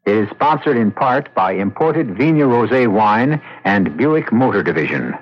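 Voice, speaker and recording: A man hosting a radio mystery series, narrating from a script in a measured, theatrical manner. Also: on the low side, sing-song. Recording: muffled vintage radio, music behind.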